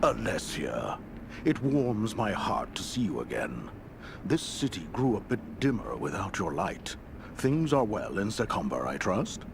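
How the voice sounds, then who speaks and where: A man playing a kindly, solemn temple priest in a fantasy video game, gravelly and courteous in a measured way. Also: fairly low pitch, sing-song, background noise, full character voice. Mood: gentle, solemn. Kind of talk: video game dialogue acting.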